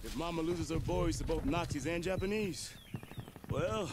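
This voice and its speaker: A man's voice: on the high side, moderate pace, heavy background noise, natural voice. A man playing a wry, grumbling American army private with a dry, sardonic way of talking. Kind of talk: video game acting.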